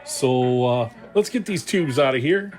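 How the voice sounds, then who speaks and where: A man's voice: medium pitch, lively intonation, unhurried, a little mellow, faint music behind. A man talking casually and playfully, with enthusiasm, as he hosts a hands-on radio restoration vlog. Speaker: host of a radio repair channel.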